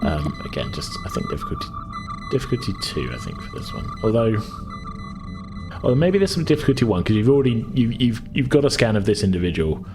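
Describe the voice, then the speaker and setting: A man with a low voice runs a tabletop roleplaying game as game master. He explains in a chatty, halting, improvised way, with clear music playing behind him. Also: sing-song. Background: background music.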